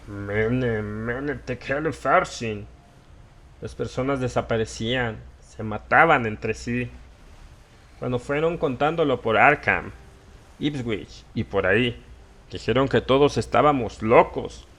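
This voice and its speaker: A man's voice: mid-range voice, slow, fairly bright tone. Man acting a haunted, ranting old drunkard. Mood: anguished. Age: older. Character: haunted, ranting old drunkard recounting small-town horrors. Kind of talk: dramatised horror audiobook monologue.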